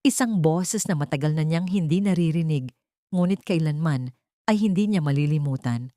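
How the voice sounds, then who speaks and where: A woman narrating a scripted audio story in a deliberate, suspenseful, theatrical way. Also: low-pitched, warm tone, no room sound, studio quality.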